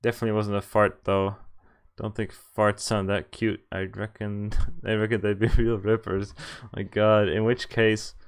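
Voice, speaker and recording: A man streaming a game, reading a visual novel aloud in expressive first person with casual, offhand asides. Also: fairly low pitch, moderate pace, clear, resonant tone. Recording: music behind the voice. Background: game music.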